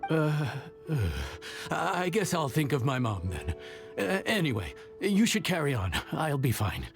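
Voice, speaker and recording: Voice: medium pitch, sing-song, measured pace, vocal fry. An actor voicing a nervous, self-doubting young male chef in a video game, hesitant and stammering, with music behind. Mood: nervous, flustered. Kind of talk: English video game voice acting.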